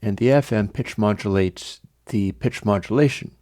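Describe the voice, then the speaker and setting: A hoarse man casually walking through an audio plugin demo, talking in a relaxed way with many fillers and pauses. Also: on the low side, no echo, studio quality. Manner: relaxed, hesitant, with frequent fillers. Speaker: plugin developer demonstrating audio software. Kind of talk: casual tutorial walkthrough.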